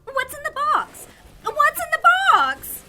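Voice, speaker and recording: A woman's voice: very high-pitched, very animated, slow, background noise, heavily acted. A woman playing a dim, fawning suburban sidekick in a comedic audio drama, repeating herself eagerly. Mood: excited, clueless. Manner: echoes herself in eager agreement.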